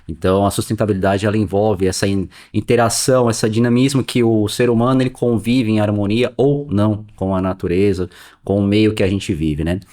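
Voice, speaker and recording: A man's voice: fairly low pitch, fairly even intonation, brisk, warm tone. A man in his forties from the São Paulo interior, speaking with that Brazilian accent as a podcast guest who explains things in a friendly way, with many filler tags. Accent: São Paulo interior Brazilian Portuguese. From Sorocaba, São Paulo state, Brazil. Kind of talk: casual podcast conversation.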